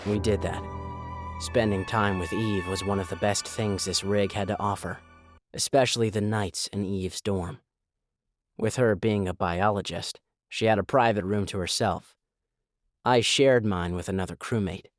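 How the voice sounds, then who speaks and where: Man playing brooding oil rig worker, low voice, subdued and weary, audio drama, music underneath. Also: fairly even intonation, moderate pace, close to an everyday voice. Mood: reflective. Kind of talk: audio drama acting with first-person narration.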